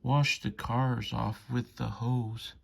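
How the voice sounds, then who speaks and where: A man reading a children's bedtime story aloud in measured, rhythmic rhyme. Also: medium pitch, steady tone, creaky. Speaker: storybook reader.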